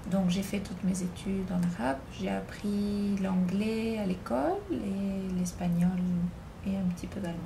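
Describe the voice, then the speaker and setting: A woman's voice: mid-range voice, fairly even intonation, unhurried, vocal fry. A woman from Algeria answering interview questions, explaining at length and listing examples one after another. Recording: noisy background ambience.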